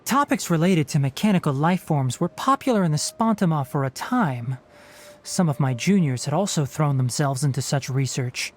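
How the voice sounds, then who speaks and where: A man voicing a calm, earnest fox-eared ranger. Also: a little high, moderate pace, natural voice. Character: young fox-eared male forest ranger scholar, principled. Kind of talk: dubbed game dialogue.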